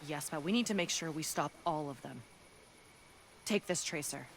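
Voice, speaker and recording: A woman's voice: low voice, animated, normal speed, creaky, a little hiss or hum. A woman playing a grim, dutiful resistance fighter in a video game cutscene, speaking with earnest, serious urgency. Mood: urgent, serious.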